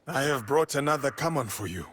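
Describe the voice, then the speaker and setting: Man playing a deep, stoic feudal warrior. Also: animated, a bit bright, a little hiss or hum, natural voice. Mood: formal, respectful. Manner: measured, formal phrasing. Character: stoic, honourable male warrior in feudal Japan. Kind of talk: video game cutscene acting.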